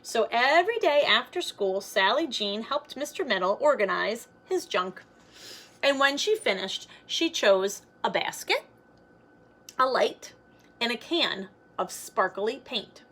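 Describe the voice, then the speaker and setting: Bright, animated woman reading a storybook aloud. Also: on the high side, a little slow. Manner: expressive, explaining simply as if to children. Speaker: teacher reading a picture book aloud. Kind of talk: read-aloud storytelling with explanatory asides.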